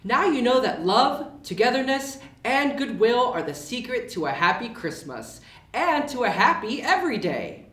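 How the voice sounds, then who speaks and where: A woman's voice: a little high, moderate pace. Storybook boy elf, played by a woman: cheerful and exclamatory, swinging melody, recorded in a roomy space. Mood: proud, joyful. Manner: exclamatory, animated. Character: eager, cheerful young male elf. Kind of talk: children's storybook readalong. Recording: roomy, distant mic.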